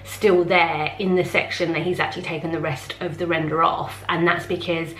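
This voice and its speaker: A woman in her thirties talks casually to a camera held close, rambling through her thoughts with plenty of fillers. Her voice sits a little low. The kitchen around her leaves an echoey ring on the sound. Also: level tone, normal speed, fairly bright tone. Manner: chatty, rambling, many fillers. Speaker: home renovation vlogger. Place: large indoor kitchen. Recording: echoey room.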